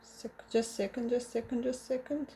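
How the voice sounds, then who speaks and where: A woman with a Croatian accent, a native Croatian speaker, teaching a live online language lesson, explaining slowly and carefully with many restarts and repeated examples. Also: a little high, fairly even intonation, dark tone, a little hiss or hum.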